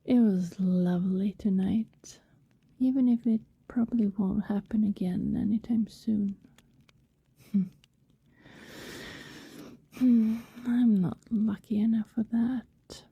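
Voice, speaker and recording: Breathy, whispering vampire woman played by an actress. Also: mid-range voice, steady tone, no room sound, lo-fi sound, heavily acted. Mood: wistful, protective. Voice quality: soft, breathy, often whispered. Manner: slow, tender, hesitant murmuring. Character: affectionate, wistful female vampire neighbour, secretly predatory. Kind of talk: intimate ASMR roleplay, acted monologue.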